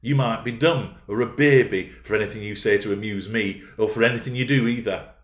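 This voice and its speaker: A man's voice: a little high, big pitch swings, normal speed, a little mellow, roomy sound, pitched above the performer's own voice. A man voicing a haughty, easily irritated teenage girl with a peevish, scolding manner in an audiobook. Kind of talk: audiobook character voice.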